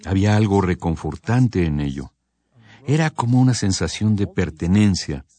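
A man with a notably deep, low voice narrates for a radio program. His delivery is calm and scripted, with reflective, measured phrasing that never hurries. It sounds like a studio read. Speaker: radio program voice reading a composer's testimony.

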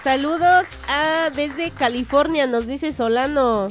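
A woman's voice: sits high, takes it slowly, soft background music. A Mexican woman co-hosting a radio show, reading out listeners' greetings in a chatty, easygoing way. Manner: chatty, reads out greetings with light laughs. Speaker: radio co-host.

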